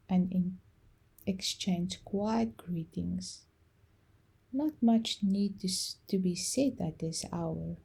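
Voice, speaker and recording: A woman storyteller narrating a bedtime meditation story in a soft, gentle, calming and unhurried way, with pauses. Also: fairly low pitch, vocal fry, breathy edge, low-quality recording. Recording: music behind the voice.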